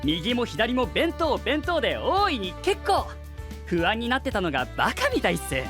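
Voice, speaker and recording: A man's voice: very high-pitched, huge pitch swings, moderate pace, full character voice. A man voicing an upbeat young male bento server in an anime voice drama, talking in casual slangy speech. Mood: cheery. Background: music.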